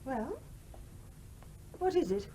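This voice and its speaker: A woman's voice: sits high, sing-song, slow, dark tone, heavily acted, rough recording. An actress in a radio play playing a genteel, scrupulous mother who is polite but flustered and argumentative. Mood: meek, polite.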